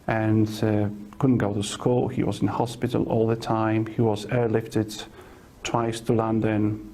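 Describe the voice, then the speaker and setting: Polish priest with a low, mellow voice. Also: male voice. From Poland. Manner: unhurried, halting, with pauses and fillers. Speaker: parish priest. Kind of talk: personal testimony.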